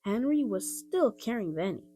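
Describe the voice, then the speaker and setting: A woman narrating a children's audiobook in an expressive storytelling style. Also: mid-range voice, moderate pace, faint music behind. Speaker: storybook reader.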